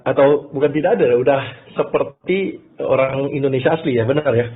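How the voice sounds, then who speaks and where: A man's voice: mid-range voice, fairly quick. A man from Malaysia presents in an informal, conversational way, telling his own story. He keeps checking in with listeners with short tag questions. The sound is narrow and muffled, like a phone call. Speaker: business presenter. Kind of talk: informal motivational talk telling a personal story.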